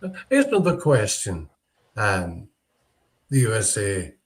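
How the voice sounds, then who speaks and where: A man hosting a casual live group discussion, chatty and quick to jump in over others, swearing now and then. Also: lowish voice, big pitch swings. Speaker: host. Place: lives in Germany.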